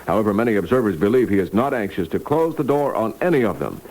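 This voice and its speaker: A man's voice: on the low side, very animated, fast, a little mellow. A man reading scripted news as an anchor on a vintage 1960s radio broadcast.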